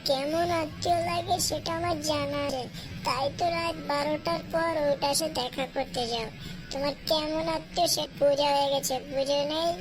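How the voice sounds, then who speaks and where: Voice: sits high, steady tone, normal speed, music in the background, close to an everyday voice. A performer voicing a cheeky, argumentative young boy who scolds his elder in a comedy cartoon. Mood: scolding, accusing. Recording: clearly noisy background.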